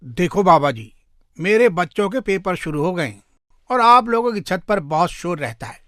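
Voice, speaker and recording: A talkative neighbourhood man from a moral tale , acted by a man. His voice is highish and very lively, with a forceful edge. He speaks emphatically and familiarly. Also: moderate pace, no echo, clean studio sound. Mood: complaining. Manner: animated, emphatic, familiar address. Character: chatty, eager neighbourhood man, a customer or complaining neighbour.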